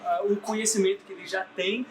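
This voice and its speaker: A man from the United States with English as his first language, who works as a teacher. He talks with easygoing enthusiasm in a fairly high voice, with loud background noise behind him. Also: flat delivery, brisk. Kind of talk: casual conversation.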